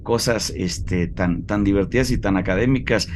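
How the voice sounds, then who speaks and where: A man from Mexico with a Mexican Spanish accent, hosting a relaxed academic conversation over a video call, talking in a friendly way with many fillers and repeated words. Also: on the low side, normal speed, background noise. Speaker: host.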